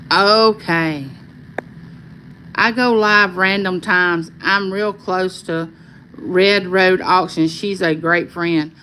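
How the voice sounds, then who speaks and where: A woman with a Southern Arkansas accent hosts a casual livestream, reading viewer comments aloud and answering each by name in a calming voice. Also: medium pitch, a little hiss or hum. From California. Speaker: livestream host.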